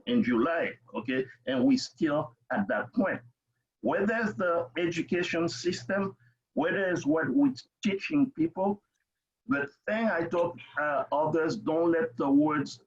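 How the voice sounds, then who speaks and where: An older man from Haiti with a Haitian accent, speaking emphatically as an interview guest, repeating himself and checking in as he goes. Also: on the high side. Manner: emphatic, repetitive, checks with "okay?". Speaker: parent advocate, interview guest. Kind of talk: conversational personal testimony. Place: remote webinar call. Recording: dull lo-fi video call audio.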